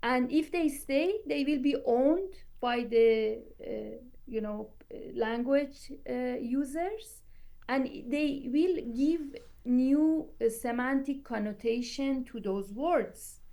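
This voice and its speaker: A woman scholar whose first language is Persian, speaking in a measured way and explaining her view through an extended comparison in a roundtable discussion. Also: high-pitched, gravelly, mellow. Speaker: scholar guest.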